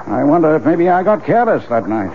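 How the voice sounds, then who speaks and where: An actor playing an earnest, middle-aged family doctor, folksy and hesitant, in 1970s radio drama style. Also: male voice, a little high, sing-song, fast talker. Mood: uneasy, guilty. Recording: dull old radio broadcast, faint noise.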